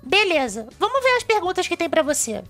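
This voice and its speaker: A woman's voice: extremely high pitch, big pitch swings, a little fast, a bit bright, soft background music, exaggerated voice. A woman voicing a cheerful, brainy young male fox who presents a cartoon web show, eagerly explaining things with a Brazilian accent. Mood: friendly.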